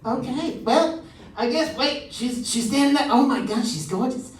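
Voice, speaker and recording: A woman playing an excitable, comically delighted older lady in a radio comedy sketch. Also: high voice, moderate pace, slight background noise, natural voice. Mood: hesitant, gossipy. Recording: echoey stage, distant mic, music behind.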